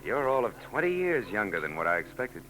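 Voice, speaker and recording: Wisecracking private eye, very low voice, dry, sardonic, radio play. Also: male voice, big pitch swings, fairly quick, a bit bright, slight background noise, low-quality recording. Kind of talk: 1940s radio acting.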